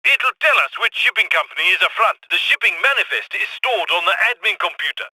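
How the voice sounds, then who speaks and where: An actor playing an eager, optimistic heist planner, briskly giving mission instructions in a video game over a radio filter. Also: male voice, high voice, animated, pushed and bright, breathy edge, no room sound, heavily acted, rough recording. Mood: brisk, focused. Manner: brisk, urgent instructing with wry asides. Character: young-sounding male heist planner guiding crew, eager and optimistic. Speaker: mission guide.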